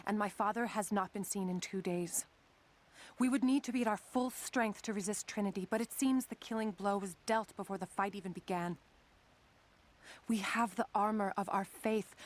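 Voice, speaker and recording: A woman's voice: mid-range voice, natural voice. A woman playing a troubled, devout resistance leader, narrating a video game document in a somber, earnest way.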